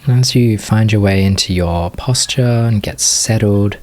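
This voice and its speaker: Male meditation teacher, low register, very slow delivery, short phrases separated by long pauses. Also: a little mellow. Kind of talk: guided meditation, unscripted gentle instruction.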